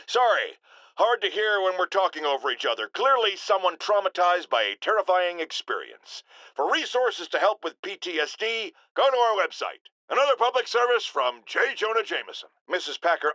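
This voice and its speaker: A blustering middle-aged talk radio host from a video game, his voice pitched high and swinging dramatically. He is paranoid and indignant, hammering key words with theatrical force in a bright, cutting tone. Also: no echo, heavily acted. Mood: irritated, curt, then alarmed ranting. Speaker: radio call-in show host. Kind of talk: ranting talk radio monologue.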